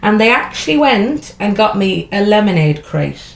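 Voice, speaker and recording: A woman's voice: mid-range voice, very animated, normal speed, gravelly. An Irish woman, a comedian guest, talking frankly and chattily in a casual interview. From Ireland. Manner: frank and chatty, with laughing asides. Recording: echoey room.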